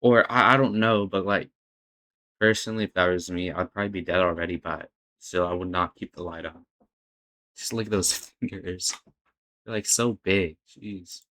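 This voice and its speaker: A man's voice: sits low, moderate pace, pushed and bright. A man hosting a reaction video, talking casually in a hesitant, stammering, uneasy way.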